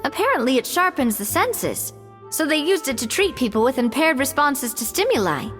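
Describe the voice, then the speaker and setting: An actress playing a spirited, well-informed young woman in a video game, articulate and expressive. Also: high voice, moderate pace, big-room sound, faint music behind. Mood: informative, earnest.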